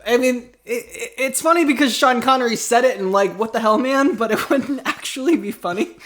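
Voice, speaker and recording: A man's voice: very high-pitched, brisk, fairly bright tone. A man co-hosting an improv comedy podcast, bantering casually in a dry, sarcastic way.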